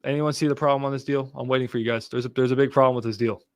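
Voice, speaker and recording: A young man hosting a live stream on real estate wholesaling, talking casually to his chat and getting excitable and exasperated at times. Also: medium pitch, very fast, no echo, studio quality. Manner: excitable, swears when exasperated, talks to chat. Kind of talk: casual livestream teaching.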